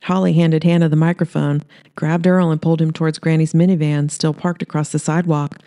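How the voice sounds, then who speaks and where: Low, mellow woman narrating a story. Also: flat delivery, fairly quick. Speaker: author reading aloud, host.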